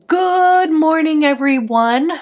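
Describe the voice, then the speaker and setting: A woman beauty vlogger chatting brightly through a makeup tutorial, a little congested from a cold. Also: sits high, takes it slowly. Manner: bubbly and chatty, fond of "a little".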